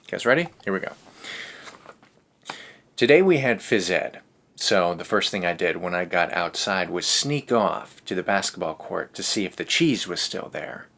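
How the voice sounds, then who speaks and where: A man with a low voice hosts a read-aloud for kids. He reads in a casual, easygoing way and drops in chatty asides. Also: lively intonation, moderate pace, fairly bright tone. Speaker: host of a children's read-aloud show.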